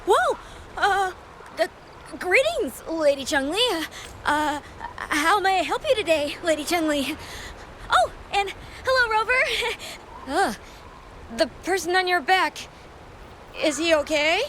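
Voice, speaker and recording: High-voiced, flustered young guild member. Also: female voice, very animated, takes it slowly, long pauses, a bit bright, slight background noise, exaggerated voice. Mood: flustered, startled. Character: flustered, eager young female association member. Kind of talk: video game voice acting.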